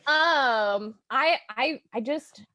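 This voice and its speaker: A woman's voice: sits high, very slow, sharp tone, no room sound. A woman from Oklahoma with an American accent, a podcast guest speaking informally and enthusiastically with some hesitation. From Oklahoma, United States. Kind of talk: casual podcast chat.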